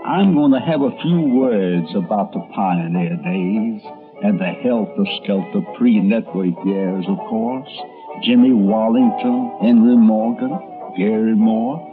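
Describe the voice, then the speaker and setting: A man's voice: fairly low pitch, narrow, tinny audio. An older man narrating a documentary as a storyteller and radio columnist.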